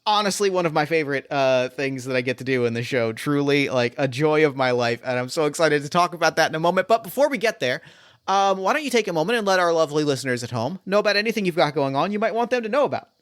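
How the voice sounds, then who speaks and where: A man with a somewhat high voice speaks as an enthusiastic podcast host. His delivery is emphatic and effusive, with casual swearing. The sound is very dry, with hardly any room on it. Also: lively intonation, quick pace, fairly bright tone, no echo. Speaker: podcast host and game master. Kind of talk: enthusiastic podcast intro and chat.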